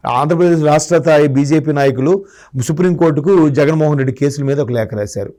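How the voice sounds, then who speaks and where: A man's voice: a little high, quick pace, mellow. A man giving political commentary in a discussion, arguing his points through rhetorical questions. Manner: argumentative, asks rhetorical questions.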